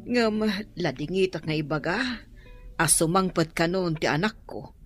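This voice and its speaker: A woman playing a kindly, concerned middle-aged mother and landlady in a radio drama, with a Filipino Ilocano accent and a husky voice. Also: on the low side, expressive, a little slow, soft background music. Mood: apologetic, concerned.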